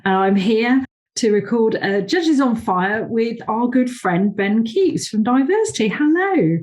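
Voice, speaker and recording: A woman's voice: on the high side, animated, unhurried, a little mellow. A woman hosting a podcast interview over a video call, talking in a chatty, rambling way with many fillers. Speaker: podcast host, awards founder. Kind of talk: conversational interview.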